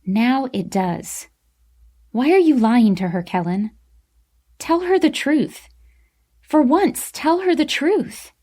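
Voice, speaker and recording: A woman voicing a calm, frank young woman in an audiobook, a peacemaking friend who is cool, matter-of-fact and insistent. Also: mid-range voice, a little slow. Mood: firm, urging.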